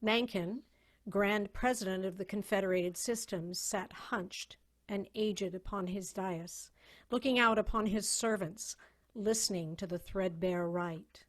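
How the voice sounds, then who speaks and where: Slow, solemn woman narrator. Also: medium pitch. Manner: solemn, measured, theatrical. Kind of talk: dramatic story narration. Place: virtual reading over a video call.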